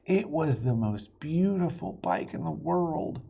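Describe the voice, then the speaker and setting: Animated man reading aloud, slightly husky. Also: a little high, dull audio. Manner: animated, pausing to explain and ask listeners questions. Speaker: children's read-aloud host. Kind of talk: expressive children's storybook reading with asides.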